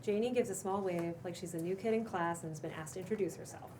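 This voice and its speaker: A woman's voice: on the low side, fast talker, a little mellow. A woman narrating the stage directions of a script at a cold table read. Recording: distant mic, clearly noisy room.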